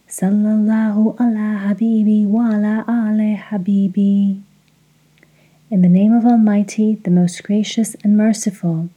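Female meditation guide, very soft and dark tone, breathy, measured instructional delivery. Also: medium pitch, rough recording. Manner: measured, instructional, pausing between short phrases. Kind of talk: slow guided meditation with recitation.

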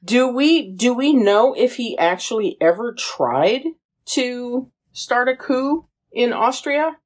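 This is a woman co-hosting a casual podcast, a native Portuguese speaker who mostly chimes in with brief agreements. She talks slowly and unhurriedly. Her pitch moves a lot, rising and falling freely. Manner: relaxed, reacts with short agreements. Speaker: podcast co-host. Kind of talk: casual recap chat.

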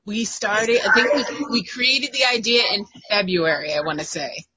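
A woman co-hosting a podcast in casual chat, agreeable and quick to affirm. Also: mid-range voice, animated, heavy background noise. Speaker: podcast co-host.

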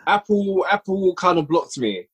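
A man's voice: sits high, sing-song, measured pace, husky. A man appearing as a podcast guest and audio drama writer, talking in a laid-back, hedging way. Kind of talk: casual chat.

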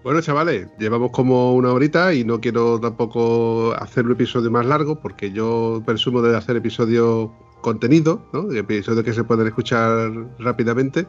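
A man's voice: medium pitch, a little fast, few pauses, lo-fi sound, faint music behind. A man hosting a podcast, telling stories in a casual, jokey way and laughing often. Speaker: podcast host. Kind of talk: casual chat.

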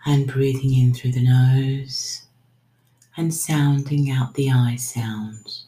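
Woman doing guided breathing meditation, really deep mellow voice, soothing and unhurried, roomy echo. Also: steady tone. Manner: soothing, unhurried, trailing into whispers. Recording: roomy, echoing sound.

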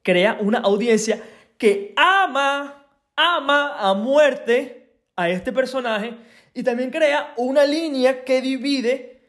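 A young man with a Venezuelan accent hosting a solo podcast, talking conversationally with frequent tag questions and pauses. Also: very high-pitched, a bit bright. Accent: Venezuelan Spanish. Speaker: podcast host.

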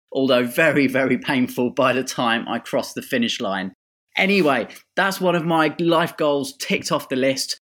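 A man hosting a podcast, introducing his guest with an enthusiastic, lively delivery before asking interview questions. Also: a little high. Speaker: podcast host. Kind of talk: upbeat scripted intro then interview questions.

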